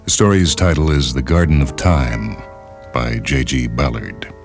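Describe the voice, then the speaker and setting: A man reading a literary short story as a radio host, in a measured, deliberate and formal manner, on a 1970s radio recording. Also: rumbling low. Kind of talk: scripted literary narration. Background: music bed behind the reading.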